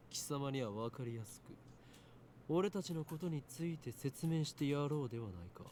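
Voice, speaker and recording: Man playing a fast, pompous young mad scientist. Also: fairly high pitch, darkish tone, a little hiss or hum, close to an everyday voice. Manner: theatrical, pompous, taunting. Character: arrogant, grandiose young male self-styled mad scientist. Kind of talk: Japanese visual novel voice acting.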